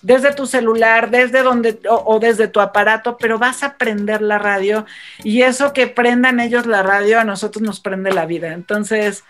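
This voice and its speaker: A woman's voice: on the high side, steady tone, normal speed, a bit bright. A woman with a Mexican accent talking effusively and emphatically as a guest in a conversational radio panel.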